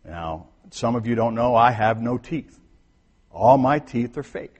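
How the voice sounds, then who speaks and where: A man preaching a sermon to his congregation, talking casually, then soft, then emphatic. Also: mid-range voice, lively intonation, measured pace, muffled recording. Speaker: pastor. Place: church sanctuary. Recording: long hall reverb.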